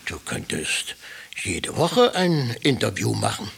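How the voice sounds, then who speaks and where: A raspy, eager village mayor. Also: male voice, on the low side, very animated, slow pace, a bit bright, full character voice. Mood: enthusiastic. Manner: enthusiastic, persuasive. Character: eager village mayor, male, middle-aged. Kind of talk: radio play acting.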